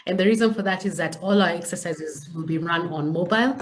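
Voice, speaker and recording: A woman's voice: fairly low pitch, a little fast. A woman with a Kenyan accent introducing herself and leading a training webinar as a presenter. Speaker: science communication trainer, session presenter.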